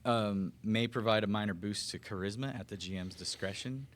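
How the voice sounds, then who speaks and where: A man's voice: lowish voice, normal speed. A man playing a tabletop game, chatting casually and reading out item descriptions.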